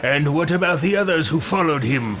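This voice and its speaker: A man's voice: a little high, animated, heavy background noise, natural voice. A man voicing an irritable, self-important fantasy hero in a video game roleplay, imperious and contemptuous. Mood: probing. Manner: imperious, curt, slow and contemptuous.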